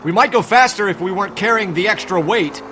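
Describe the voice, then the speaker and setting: Actor as a high-pitched, exasperated detective driving. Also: male voice, a little fast, lightly acted. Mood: wry. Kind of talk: video game crime drama acting. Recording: clear car chase noise behind.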